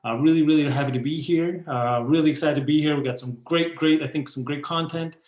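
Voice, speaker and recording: A man's voice: fairly high pitch, fairly even intonation, a little fast, darkish tone, echoey room. A man hosting a video call, introducing a guest with enthusiastic, filler-heavy talk. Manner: enthusiastic, repetitive, many fillers. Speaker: host.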